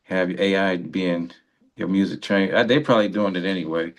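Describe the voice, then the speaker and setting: A man's voice: low-pitched, fairly even intonation, normal speed. A man hosting a radio interview in casual, rambling chat, often breaking off and rephrasing his sentences. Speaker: radio show host.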